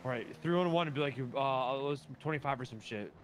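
A man's voice: medium pitch, a little fast, a little hiss or hum, natural voice. A man playing a cocky, casual police officer in improvised online game roleplay, talking in blunt slang. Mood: conspiratorial.

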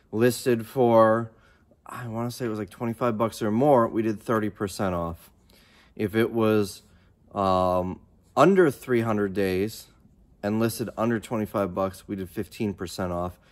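A man in his thirties chatting casually as a reseller vlogger, rambling and thinking aloud into a phone held at arm's length indoors. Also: on the low side. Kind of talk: casual vlog chat. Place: large warehouse and storage room, indoors.

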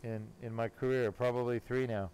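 A man's voice: medium pitch, fairly even intonation, normal speed, darkish tone. Male surgeon talking over operating room noise. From born in Germany, later lived in California. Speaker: spine surgeon operating and explaining. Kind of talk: live surgery commentary, casual explanation. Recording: clearly noisy operating room sound. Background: room noise and ambience.

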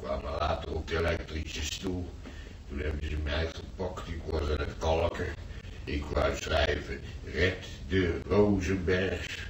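Slow, deep-voiced rambling old grandpa. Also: male voice, steady tone. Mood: reminiscing. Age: older. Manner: rambling, halting, repeats himself. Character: old, easily agitated grandfather in hospital, rambling over memories. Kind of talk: radio drama acting. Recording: roomy, distant, faintly noisy old radio recording.

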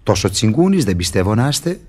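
Sneaky mocking devil, radio play, deep raspy voice that jumps all over, goading. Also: male voice, big pitch swings, a little mellow. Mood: mocking, impatient. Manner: brisk, sardonic, goading. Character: sly, mocking male devil tempter Mephistopheles. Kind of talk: theatrical radio drama acting.

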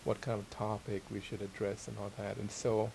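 A middle-aged man from Thailand speaks as an interview guest, his voice on the low side with a gravelly creak. He is modest and hesitant, feeling his way through his answers. A steady hiss sits behind him. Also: normal speed. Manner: hesitant, searching for words, modest. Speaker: interviewed guest, theology teacher and author. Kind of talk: casual sit-down interview. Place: small library room with armchairs. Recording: steady hiss and room noise.

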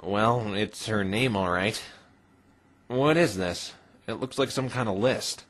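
A man's voice: on the low side, slow pace, a bit bright. A man playing an easily flustered, exasperated young lawyer in a cartoon, with a dry, stammering manner. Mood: thoughtful, dry.

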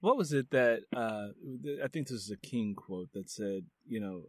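A man's voice: on the low side, animated, moderate pace, dry recording, studio quality. A man hosting an interview podcast, speaking earnestly and repeating phrases for emphasis. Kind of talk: casual interview conversation.

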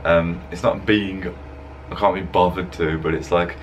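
A young man with a very deep voice chats in a loose, rambling way, full of fillers. He talks into a desk webcam, and a faint background hiss sits under his voice. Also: steady tone, measured pace, lo-fi sound. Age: young adult. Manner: rambling, laid-back, chatty with fillers. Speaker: fitness vlogger. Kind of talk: casual voice-over vlog. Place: indoor room, voice-over over gym footage.

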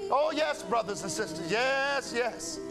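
Male preacher with a very high voice, impassioned and pleading, faint music behind. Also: animated. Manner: pleading, preacherly cadence with drawn-out phrases. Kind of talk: impassioned sermon.

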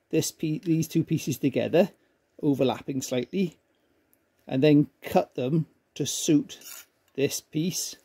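A man with a fairly high speaking voice talks through a welding demonstration in his garage workshop. He is plain and modest and explains each step as he goes. The sound is dry and close, with little room echo. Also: warm tone, slightly rough. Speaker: amateur car restorer demonstrating welding.

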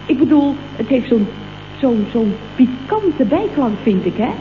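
A thin, phone-like vintage radio comedy recording with faint noise. a high-voiced Dutch woman at the office, chatty and gleeful. She gushes and teases in exclamations. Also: very animated, measured pace. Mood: coy, curious. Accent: Dutch, from the Netherlands. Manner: gushing, exclamatory, teasing. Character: chatty, gleeful, cheeky female office colleague. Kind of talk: late 1960s comic radio play acting.

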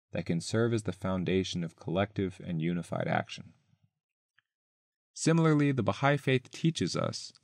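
A man reading a written essay aloud in a measured, carefully enunciated way. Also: on the low side, no echo, clean studio sound. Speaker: article author and reader.

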